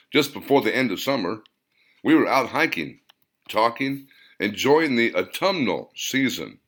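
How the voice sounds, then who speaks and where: A man's voice: on the low side, a bit bright, heavily acted. A man voicing an earnest male character who tells nostalgic, dramatic boyhood memories in an audiobook. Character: earnest male storyteller recalling boyhood summers with Grandpa.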